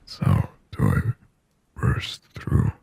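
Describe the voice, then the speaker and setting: A man voicing a shy, possessive sleep paralysis demon in an ASMR roleplay, in a halting, intimate, breathy whisper. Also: no room sound. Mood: anxious musing. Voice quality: breathy, husky whisper with low gravelly creak. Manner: slow, halting, hushed, intimate.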